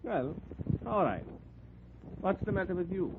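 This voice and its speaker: An actor playing a fussy, formal doctor in 1940s radio drama. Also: male voice, high-pitched, big pitch swings, measured pace, phone-quality sound, exaggerated voice. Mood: brisk, officious. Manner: formal, officious. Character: fussy, authoritative male doctor with clipped formality. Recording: crackly, very noisy 1940s radio recording.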